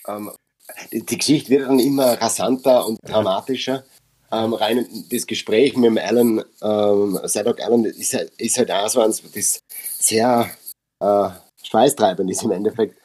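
Brief: Austrian male actor, low husky voice, reflective podcast guest. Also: a little mellow. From Upper Austria. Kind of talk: casual podcast conversation.

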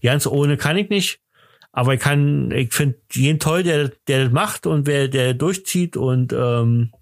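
A male podcast host with a mid-pitched voice chats casually and jokingly. He rambles and hesitates, halting often as he goes, with a tongue-in-cheek edge. The sound is dry, with very little room. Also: no echo.

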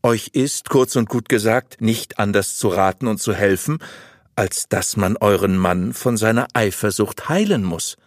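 A man playing a sly, lecherous abbot in a storybook, speaking with unctuous, deliberate persuasion and false piety. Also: on the low side, dry recording, clean studio sound, close to an everyday voice. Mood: unctuous, scheming. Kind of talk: audiobook storytelling.

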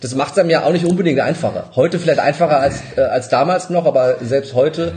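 A man's voice: a little high, fast talker, darkish tone, slight background noise. Eager, stumbling male interviewer. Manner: enthusiastic, repeats words, stumbles. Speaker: interviewer, podcast host. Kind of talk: casual interview talk.

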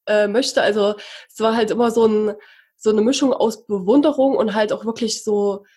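A woman from Saxony-Anhalt hosts a relaxed conversation. Her voice is husky and breathy at a middle pitch, and she talks in a warm, chatty way that is full of admiration. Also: moderate pace. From Saxony-Anhalt, Germany. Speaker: host. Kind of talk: casual conversation.

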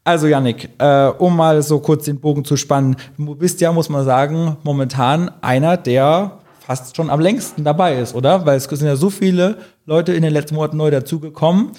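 A man hosting a podcast in a chatty, friendly way, slightly hoarse from a cold. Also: fairly high pitch, normal speed, few pauses, mellow. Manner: chatty, friendly, fillers like "gell" and "ne". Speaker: podcast host. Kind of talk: casual podcast chat.

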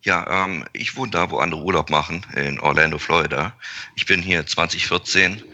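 A man's voice: low voice, moderate pace, pushed and bright. A young man just out of university, a podcast guest on a video call, talking in an easygoing way. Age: young adult. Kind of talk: casual interview.

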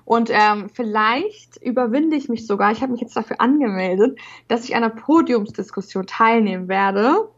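A woman's voice: fairly high pitch, moderate pace. A young woman who stutters, talking as a guest on a podcast with repeated syllables and frequent fillers. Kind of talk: casual interview talk. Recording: dull lo-fi sound.

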